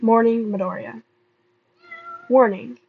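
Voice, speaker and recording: A woman reading fan fiction aloud as an amateur narrator, halting and often stumbling over and repeating words. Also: fairly high pitch, steady tone, gravelly, husky, no room sound, narrow, tinny audio. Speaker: amateur podfic reader.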